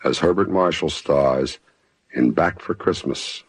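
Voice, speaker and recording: A man playing the droll, macabre host of a 1950s radio thriller, introducing it with deliberate, ominous deadpan. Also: extremely low pitch, slightly rough, dry recording, full character voice. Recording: vintage 1950s radio broadcast.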